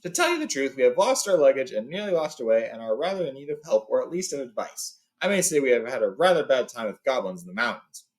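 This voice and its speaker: A man's voice: mid-range voice, big pitch swings, fast, few pauses, lightly acted. A man voicing a courteous, authoritative old wizard in a storybook, speaking formally and with emphasis. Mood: placating. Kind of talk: storybook read-aloud character.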